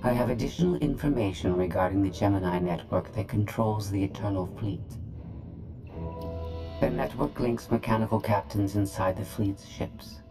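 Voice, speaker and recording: A woman's voice: rumbling low, moderate pace, music in the background. A woman voicing a proud, disdainful droid intelligence in a game, formal, clipped and detached. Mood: cold, haughty. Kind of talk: scripted game cutscene dialogue.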